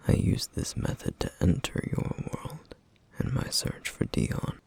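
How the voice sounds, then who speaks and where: A man playing a somber, duty-bound, protective flame demon in an ASMR roleplay, speaking in a hushed, halting, formal way with a rough, breathy voice. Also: natural voice. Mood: grave, hesitant. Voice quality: breathy, husky, rough whisper. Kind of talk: ASMR roleplay, intimate scripted monologue.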